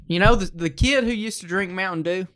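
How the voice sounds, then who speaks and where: A man's voice: high voice, a bit bright. A man in his twenties chatting casually as a podcast host, joking and playfully mock-dramatic, close on the mic. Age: 20s. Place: small room indoors. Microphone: handheld-close podcast microphone on a stand.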